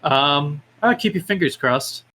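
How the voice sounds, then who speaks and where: A man speaking casually as a podcast guest, with halting, hesitant phrasing. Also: on the high side, animated, bright, forceful tone. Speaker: podcast guest, independent game developer. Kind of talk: casual interview chat. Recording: clear background noise, room ambience.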